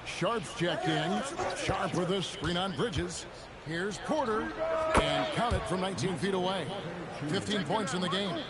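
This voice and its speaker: A man's voice: high voice, unhurried. A man acting as a play-by-play announcer, calling a basketball video game like a TV broadcast. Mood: focused, brisk. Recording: loud basketball arena noise behind. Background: arena ambience and bouncing basketball.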